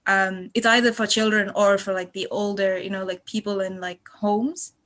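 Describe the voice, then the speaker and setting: A woman's voice: medium pitch, fairly even intonation, normal speed, fairly bright tone. A woman in her twenties from Malaysia chatting casually on a podcast, effusive and rambling as she tells her stories. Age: 20s. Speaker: podcast guest and student contributor.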